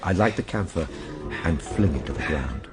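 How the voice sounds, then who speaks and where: A man's voice: deep voice, expressive, moderate pace, loud music behind the voice. A man playing a time traveler recounting his ordeal in solemn, literary radio drama narration. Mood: grave, tense. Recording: radio drama over loud background ambience.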